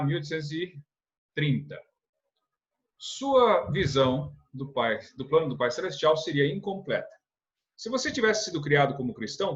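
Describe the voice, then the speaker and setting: A man's voice: a little high, muffled recording. A man from teaching a church lesson, earnest, asking rhetorical questions and often adding a tag question. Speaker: religious instructor.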